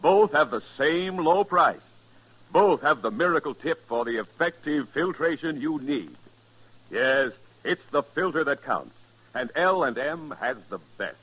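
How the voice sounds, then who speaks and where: A man's voice: on the high side, animated, measured pace, fairly bright tone, breathy edge. A man with an American accent delivering an emphatic 1950s radio advertisement as the commercial announcer. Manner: emphatic salesmanship. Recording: dull, lo-fi vintage radio recording.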